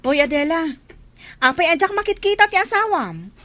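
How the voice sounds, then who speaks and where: An actress playing a brash, nosy gossip of a neighbour in a radio drama, taunting and exclamatory, with an Ilocano accent. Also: female voice, extremely high pitch, big pitch swings, moderate pace, bright, forceful tone, no room sound, heavily acted. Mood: mocking.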